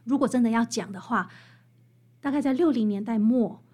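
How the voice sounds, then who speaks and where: A woman's voice: on the high side, normal speed, dark tone. A woman with a Taiwanese Mandarin accent explaining things conversationally as an interview guest, animatedly walking through examples. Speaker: interview guest, author and music researcher.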